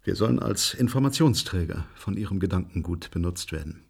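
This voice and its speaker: An actor playing a grave, authoritative spaceship commander with a husky voice in a science-fiction radio drama. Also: male voice, low voice, animated, brisk, a little mellow, dry recording, studio quality, heavily acted. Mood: grave, worried. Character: grave spaceship commander reporting on a doomed crew.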